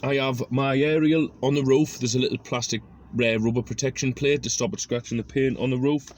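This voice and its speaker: A man's voice: medium pitch, fairly even intonation, a little fast. A man giving a casual hands-on DIY tutorial, talking through each step and thinking aloud as he works. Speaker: presenter of a DIY car project video. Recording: noisy amateur recording with clicks.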